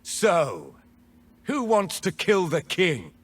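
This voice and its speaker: Defiant rebel mage, video game character, husky, slow deliberate delivery, theatrical, bitter and rousing. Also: male voice, sits high, wildly swooping pitch, heavily acted.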